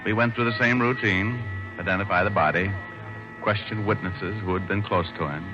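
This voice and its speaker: Deep-voiced hard-boiled detective played by a man. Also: fairly even intonation, moderate pace, natural voice. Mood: grim, narrating. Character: wry hard-boiled male private detective narrating his case. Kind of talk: 1940s-50s radio noir acting and narration. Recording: muffled old radio recording with music.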